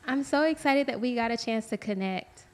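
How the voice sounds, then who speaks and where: A young woman hosts an interview with clear enthusiasm, dropping frequent "likes" and "mm-hmms" as she listens. Her voice sits a bit higher than average. She sounds keen and upbeat. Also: normal speed. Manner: enthusiastic, says like and mm-hmm often. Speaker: interview host. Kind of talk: casual interview chat.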